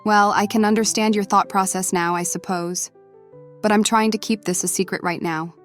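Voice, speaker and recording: A woman's voice: medium pitch, fairly even intonation, moderate pace, soft background music, close to an everyday voice. Woman playing a dry, no-nonsense cartoon woman. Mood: softening. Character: exasperated, guarded but fair-minded woman with secret romance. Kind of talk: animated web series voice acting.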